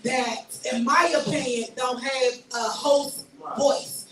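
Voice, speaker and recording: A woman host with a raspy voice, giving blunt, forceful opinions in a heated casual discussion. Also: high voice, fairly even intonation, slow pace, roomy sound, distant mic, background noise. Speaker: show host.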